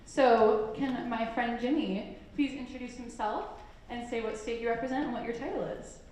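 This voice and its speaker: A woman's voice: on the high side, steady tone, normal speed, darkish tone. A woman emceeing a live panel on stage, upbeat and cheerful, laughing often. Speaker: event emcee. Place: large echoey event hall with audience. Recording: echoey hall, crowd and room noise.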